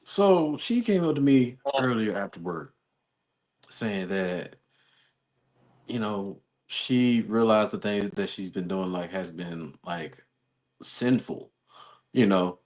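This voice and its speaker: A man's voice: on the low side, expressive, takes it slowly, long pauses, darkish tone. An American man in a casual talk-show chat, rambling through a personal story as host. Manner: rambling, storytelling with quoted exchanges.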